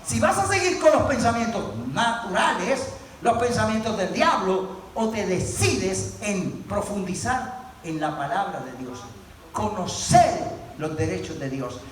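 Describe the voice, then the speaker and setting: A man's voice: sits high, animated, measured pace. A man preaching an impassioned sermon in an emphatic, exhorting manner, repeating words and phrases for effect. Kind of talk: impassioned Spanish-language sermon. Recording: very echoey room, distant mic, faint noise.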